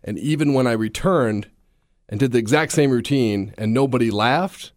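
A man's voice: mid-range voice, moderate pace, a little mellow, dry recording, clean studio sound. A man, a stand-up comedian, chatting in an interview with a slightly scratchy voice, self-deprecating and often laughing. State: slightly scratchy voice after a long day. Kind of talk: casual interview chat.

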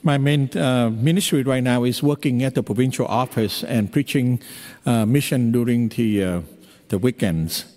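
A man with a Vietnamese accent, preaching a homily as a priest, pausing often with fillers. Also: medium pitch, a little mellow. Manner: halting, with frequent fillers. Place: church. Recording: long hall reverb in a church.

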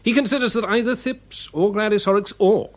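An actor playing a sensible, dryly amused police inspector in a radio drama, with an English accent and a measured, explanatory manner. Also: male voice, sits high, natural voice.

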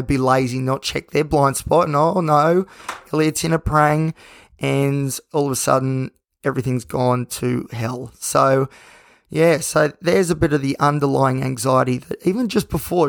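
A hoarse man hosting his own podcast, talking in a rambling, candid and self-reflective way. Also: fairly high pitch, no room sound, studio quality. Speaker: podcast host. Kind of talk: casual solo podcast monologue.

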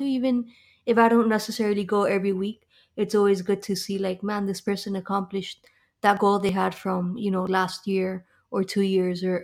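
A woman's voice: medium pitch, a little mellow. A young woman from Miami answering interview questions in a friendly, chatty way, with fillers and restarts. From Miami, Florida. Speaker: podcast guest.

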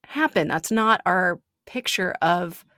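A woman's voice: on the low side, expressive, takes it slowly, sharp tone, dry recording, studio quality. A woman speaking earnestly and emphatically in a casual faith conversation, building her points with repetition.

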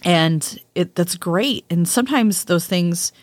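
A woman's voice: fairly low pitch, takes it slowly, no room sound, studio quality. A woman co-hosting a casual podcast chat, talking freely, laughing often and repeating words as she gathers her thoughts. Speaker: podcast co-host.

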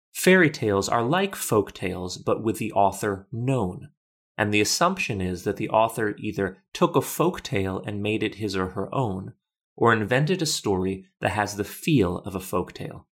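Narrator: man, lowish pitch, literate and measured delivery of scripted documentary podcast copy.

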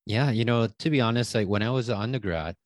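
A man, a guest telling his story in a casual interview, loose and halting with many fillers. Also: on the low side, no room sound, clean studio sound. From Taiwan. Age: 30s. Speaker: guest, stock options coach.

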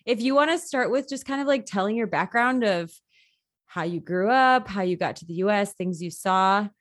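A woman's voice: medium pitch, expressive, moderate pace, fairly bright tone. Woman, podcast host, gushing and enthusiastic, casual chatty interviewing, mid-range voice. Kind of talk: casual upbeat interview chat.

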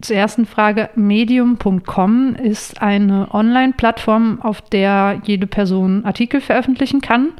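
A young woman, a guest on a podcast, answering interview questions in a friendly way and explaining things clearly and at length. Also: medium pitch, fairly even intonation, unhurried, few pauses, darkish tone. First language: not English. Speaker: podcast guest, online writer.

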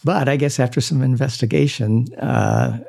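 An older man speaking as an interview guest, halting with many fillers and soft chuckles. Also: mid-range voice, steady tone, gravelly. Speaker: guest, service club member. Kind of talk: casual interview chat.

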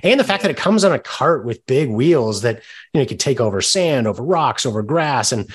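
A man's voice: medium pitch, lively intonation, fairly quick, breathy edge. A man hosting a podcast, interviewing in a casual, conversational way with chatty, affirming remarks.

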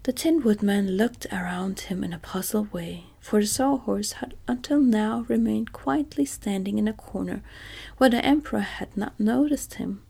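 A woman reading a classic storybook aloud in a soft, measured whisper. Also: mid-range voice, vocal fry, breathy edge.

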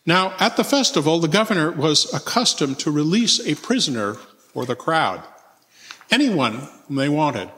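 A man's voice: on the high side, pushed and bright. Man reading scripture aloud in long reverb. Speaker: church member reading as narrator.

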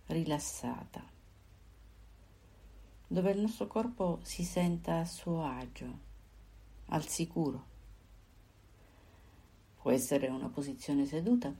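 A woman guiding a body scan meditation, giving calm, unhurried instructions in short phrases with pauses. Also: low voice, breathy edge. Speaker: meditation guide.

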